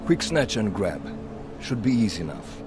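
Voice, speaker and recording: An actor playing a blunt, cynical veteran pilot in a video game, sardonic, cocky and colloquial. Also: male voice, on the low side, sing-song, normal speed, background noise. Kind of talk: video game cutscene acting.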